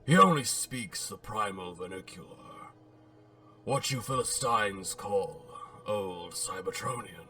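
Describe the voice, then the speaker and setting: Male robot tyrant, acted by a man in a comic dub: eloquent, theatrical, sharp-edged delivery, measured pace, sinister politeness. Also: on the low side, recorded from a distance. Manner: theatrical, pompous, menacingly polite, deliberate. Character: menacing, eloquent male robot warlord and torturer. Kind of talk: dramatic reading of a comic book script.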